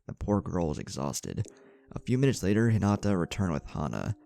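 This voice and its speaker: A man's voice: deep voice, big pitch swings, brisk, vocal fry, warm tone. A man reading a scripted story as a narrator, voicing the dialogue as he goes. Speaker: fan fiction narrator. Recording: music bed under the voice.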